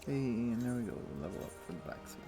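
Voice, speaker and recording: A man casually commenting while playing a game on a live stream, relaxed and thinking aloud to himself. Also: medium pitch, fast talker. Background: background music.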